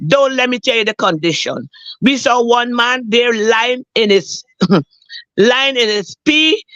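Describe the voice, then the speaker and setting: A hoarse woman, calling a talk show and earnestly telling a personal story, repeating herself for emphasis. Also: a little high, a bit bright, no echo, lo-fi sound. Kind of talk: emotional personal account.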